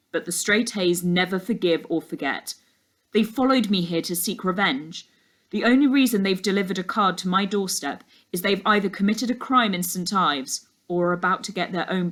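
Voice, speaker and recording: A woman's voice: mid-range voice, fairly bright tone, natural voice. Woman reading as an intense, brooding male detective. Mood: grave, bitter.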